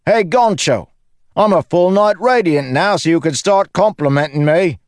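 A man's voice: sits high, expressive, moderate pace, no room sound, clean studio sound, voice pushed up. A man voicing a cocky, chatty young male soldier in an audiobook, flippant and theatrical. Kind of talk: audiobook character acting.

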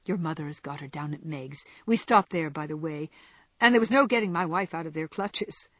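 Boyish young husband in a storybook, played by a woman, mischievous and mock-humble, lowish, slightly husky. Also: brisk, no room sound. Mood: pleased. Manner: playful, mock-dignified.

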